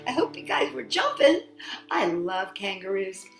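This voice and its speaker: A woman's voice: medium pitch, big pitch swings, unhurried, creaky, roomy sound, soft background music. A woman hosting storytime for children, reading and chatting expressively and addressing her young listeners directly. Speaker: children's storytime host and singer. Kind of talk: storytime read-aloud and chat to children.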